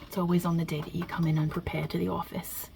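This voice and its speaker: A woman's voice: fairly low pitch, little pitch movement, noisy background. A woman voicing a non-binary God as a brash, irreverent mob boss with a put-on Italian-American New York-New Jersey accent, wry and offhand, in an ASMR roleplay. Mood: wry, nonchalant. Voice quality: husky, breathy, hushed. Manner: wry, offhand, blunt, hesitant pauses, swearing.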